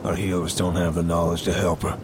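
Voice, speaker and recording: A man voicing a kindly old pack leader who is calm and protective. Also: sits low, fairly quick, background noise, lightly acted. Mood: firm. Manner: calm, firm.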